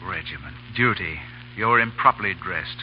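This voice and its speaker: An actor playing a hot-headed, rebellious cavalry officer, blunt and insistent, in 1930s radio style. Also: male voice, sits low, lively intonation, moderate pace. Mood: bitter, determined. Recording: dull, noisy 1930s radio broadcast.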